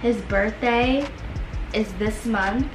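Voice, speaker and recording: A woman's voice: a little high, slow pace. A young woman hosting a video with casual, friendly chat. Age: young adult. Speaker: video host. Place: small room indoors. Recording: roomy echo with music behind.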